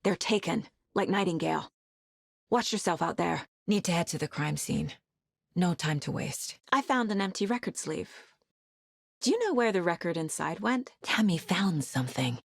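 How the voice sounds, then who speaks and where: Serious fbi agent lady from a horror game, bit husky, super dry studio sound, focused and kinda talking to herself. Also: fairly low pitch, expressive, moderate pace, no echo, heavily acted. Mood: focused, investigative. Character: determined, level-headed female FBI agent investigating supernatural horror. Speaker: video game voice actress. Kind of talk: dramatic video game voice lines.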